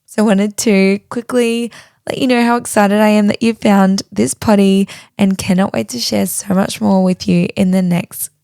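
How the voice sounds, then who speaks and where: A woman hosting a podcast, husky and crackly from a lost voice, bubbly and enthusiastic. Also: medium pitch, fairly even intonation, moderate pace, mellow, dry recording, studio quality. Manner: bubbly, enthusiastic, laughs often. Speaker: podcast host. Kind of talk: casual chat and solo intro. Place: bedroom floor.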